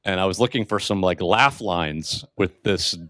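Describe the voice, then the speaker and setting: A man's voice: low-pitched, normal speed, fairly bright tone, no room sound, clean studio sound. A man hosting a university talk, giving a chatty, jokey welcome with lots of fillers, speaking into a handheld microphone. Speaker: event host, executive director. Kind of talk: welcoming introduction. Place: university entrepreneurship floor.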